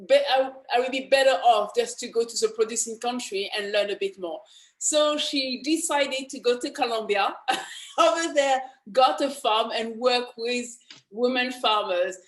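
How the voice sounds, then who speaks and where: A woman's voice: a little high, normal speed, reverberant, poor audio. A woman hosting an interview show, upbeat, with lots of fillers and restarted sentences. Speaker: host.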